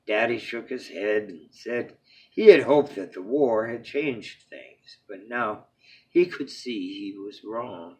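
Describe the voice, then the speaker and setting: Man, bedtime story reader, slightly raspy voice, animated pitch, expressive read-aloud, dramatic phrasing, hushed endings. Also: takes it slowly, poor audio.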